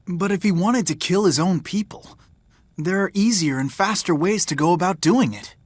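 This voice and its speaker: A man's voice: high voice, normal speed, no echo. A man acting a cocky, blunt, sarcastic young adventurer in a video game.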